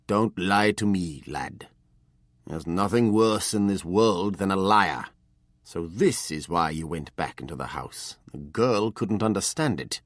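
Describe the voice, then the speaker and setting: A man voicing a stern old male ghost-hunter who speaks slowly, deliberately and reproachfully, in a storybook reading. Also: low voice, expressive, no echo, studio quality, exaggerated voice.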